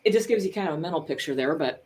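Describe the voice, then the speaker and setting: A woman's voice: low-pitched, lively intonation, rapid-fire, music in the background. A woman, a church musician, casually introducing a song. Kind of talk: casual chat before singing.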